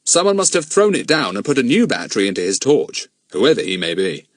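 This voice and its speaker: A man voicing a calm, practical grown man who speaks firmly and reasons things out. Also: lowish voice, no echo, studio quality. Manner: firm, reasoning, brisk.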